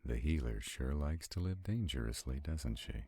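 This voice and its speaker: A man playing an arrogant, protective centuries-old vampire in a roleplay audio drama, haughty and brooding, with a gravelly, husky voice. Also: very deep voice, animated, normal speed, warm tone, dry recording, exaggerated voice. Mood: dry, wry.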